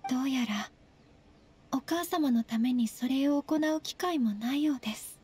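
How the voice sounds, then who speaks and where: A woman voicing a polite, earnest, slightly shy young noblewoman in a Japanese anime game, speaking gently and formally. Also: high-pitched, moderate pace, husky. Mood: reflective. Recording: soft game music behind.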